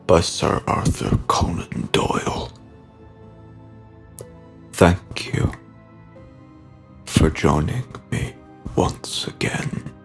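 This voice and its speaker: A man voicing a ghoulish undead horror host in a breathy, rasping half-whisper, slow and theatrical, relishing the macabre. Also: rumbling low, exaggerated voice. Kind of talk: horror anthology host sign-off.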